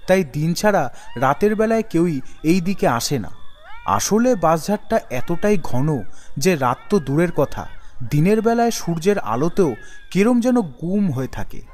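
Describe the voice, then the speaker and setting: A man's voice: high-pitched, expressive, normal speed, a little mellow, long reverb tail. A man narrating a scripted ghost story for an animation.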